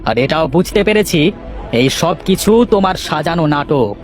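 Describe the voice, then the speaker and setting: A man's voice: on the high side, lively intonation, normal speed, lightly acted. Man playing a stern cartoon village headman. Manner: authoritative. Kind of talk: cartoon character dialogue. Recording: music under the voice.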